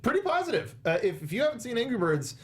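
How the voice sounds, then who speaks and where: A young man hosting a movie discussion, talking casually with halting, repeated words into a close studio microphone. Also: very high-pitched, very animated, slightly rough. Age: young adult. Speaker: show host. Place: small indoor podcast studio. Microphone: close studio microphone with foam cover, headset monitoring.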